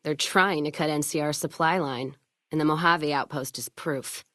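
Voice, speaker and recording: Hard-drinking caravan woman, low voice, blunt, sardonic, world-weary, video game dialogue, very dry close sound. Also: steady tone, normal speed, sharp tone, dry recording. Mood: stern, blunt. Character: tough, hard-drinking, foul-mouthed, world-weary female caravan owner.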